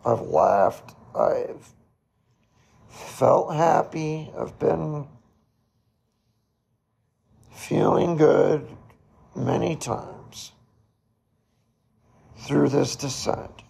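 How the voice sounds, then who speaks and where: A man hosting a solo podcast, reflecting in a slow, halting way with a hoarse, raspy voice. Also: mid-range voice, a little mellow. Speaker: podcast host.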